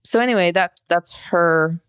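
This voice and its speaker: A woman co-hosting a podcast, explaining a case conversationally in an informal, halting storytelling way. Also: fairly low pitch, fairly bright tone, clear, resonant tone, no room sound. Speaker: podcast co-host. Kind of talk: conversational true-crime case discussion.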